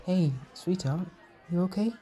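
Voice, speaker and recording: Mellow, gentle man acting as a caretaker. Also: high-pitched, unhurried. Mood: tender, soothing. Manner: soft, reassuring, tender with pet names. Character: gentle, protective male caretaker comforting an overwhelmed partner. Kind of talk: roleplay comfort audio, intimate acting. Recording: music and party chatter behind.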